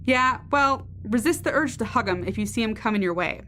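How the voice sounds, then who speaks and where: A woman's voice: fairly high pitch, lively intonation, brisk, a bit bright, slight background noise. A woman in an audio drama playing a tough, self-assured soldier with a dry, blunt way of talking. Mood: dry, confident.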